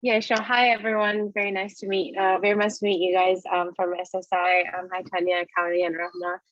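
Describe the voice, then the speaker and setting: A woman in her thirties from Indonesia speaking informally on a panel over a video call, hesitantly, with frequent pauses and repeated phrases. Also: mid-range voice, little pitch movement, no room sound. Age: 30s. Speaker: panelist, children's science programme co-founder. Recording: dull, lo-fi video call audio.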